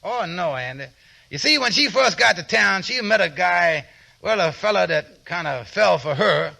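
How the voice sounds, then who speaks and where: Earnest Amos, high and very creaky. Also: male voice, expressive, normal speed, sharp tone, heavily acted. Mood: earnest, helpful. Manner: folksy, plain-spoken, helpful. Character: earnest, friendly, sensible married man, Amos. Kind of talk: 1940s radio comedy acting. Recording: 1940s radio broadcast recording.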